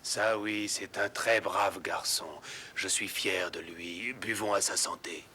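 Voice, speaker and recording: A man's voice: deep voice, steady tone, takes it slowly, bright, forceful tone, a little hiss or hum, exaggerated voice. A man voicing a fatherly, commanding older badger in a cartoon dub, speaking. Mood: proud, warm. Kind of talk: French cartoon dub acting.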